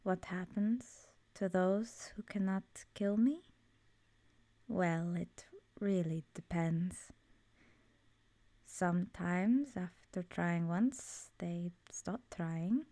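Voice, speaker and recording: A woman voicing an ancient, immortal swamp witch in an ASMR roleplay, soft-spoken and often whispery, gently knowing and wistful. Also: on the low side, drawn-out words, dry recording. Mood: wistful, somber.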